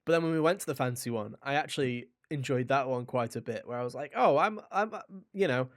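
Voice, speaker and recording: A man co-hosting a gaming podcast, chatting casually and enthusiastically in an animated, rambling way. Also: mid-range voice, quick pace, no room sound, studio quality. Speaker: podcast co-host reviewing a video game.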